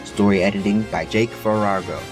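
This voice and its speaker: A man's voice: lowish voice, fairly even intonation, fairly quick. A man reading a title and production credits as a scripted announcer. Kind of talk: scripted narration. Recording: music under the voice. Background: music.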